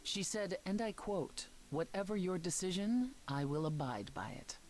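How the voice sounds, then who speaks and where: An actress playing a composed immortal woman leader in a story game, speaking in a measured, formal way. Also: fairly low pitch, animated, a little mellow, slight background noise, natural voice. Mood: calm, dry. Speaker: voice actor. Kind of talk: dramatic dialogue in a story game.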